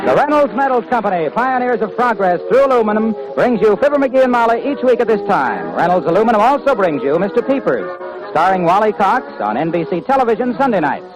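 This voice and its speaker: A man announces on an old radio broadcast in a high, animated voice. His delivery is a polished mid-century sales pitch, with faint music behind. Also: fast talker, low-quality recording. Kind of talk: 1950s radio advertising announcement.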